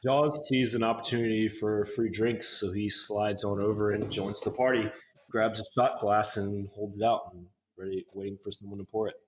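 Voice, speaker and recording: A man's voice: on the low side, steady tone, moderate pace, a little hiss or hum, rough recording. A man improvising narration of his character's actions in a tabletop roleplaying game.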